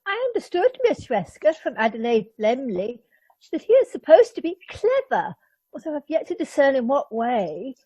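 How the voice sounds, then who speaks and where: A woman plays a prim Edwardian house guest in a radio play. Her high voice rises and falls freely as she makes sniffy, catty remarks, with a very dry sound. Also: sing-song, warm tone, husky, dry recording, full character voice, rough recording. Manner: formal, sniffy, measured. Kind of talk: amateur radio play acting.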